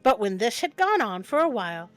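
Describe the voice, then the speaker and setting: A woman with a fairly high voice reads a storybook tale as the host of a show. She is playful and breaks away from the text for wry commentary. Soft music runs behind her. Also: sing-song, fairly quick. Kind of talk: storybook reading with joking asides. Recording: music bed under the voice.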